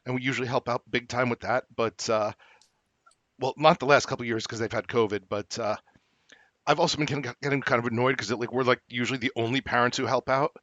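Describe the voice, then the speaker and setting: A middle-aged man co-hosting a podcast in casual chat, rambling with many halting restarts and fillers. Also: medium pitch, steady tone, fairly bright tone, dry recording. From New Jersey. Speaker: podcast co-host. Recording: dull lo-fi dry recording.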